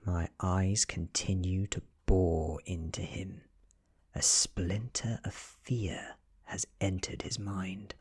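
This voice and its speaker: Slow, hushed, low British male ASMR reader. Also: fairly even intonation, breathy edge. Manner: hushed, often dropping to a whisper. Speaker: audiobook reader. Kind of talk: soft-spoken ASMR story narration.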